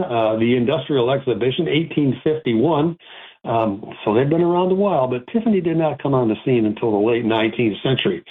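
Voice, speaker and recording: Chatty rambling radio host, tinny. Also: male voice, medium pitch, slightly rough. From Rochester, New York. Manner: rambling, self-correcting, chatty. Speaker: radio show host, antiques auctioneer. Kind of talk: casual call-in radio talk.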